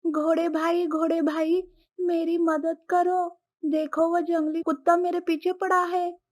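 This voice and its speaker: A woman voicing a kind, caring cat in an animated moral story. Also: very high voice, unhurried. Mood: frightened pleading. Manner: caring, soothing. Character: kind, helpful female cat, friend to all animals.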